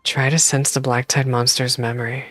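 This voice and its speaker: A woman's voice: rumbling low, little pitch movement, moderate pace, a bit bright, no echo, soft background music. A woman streaming a game, casually reacting and reading the on-screen text aloud on a close microphone.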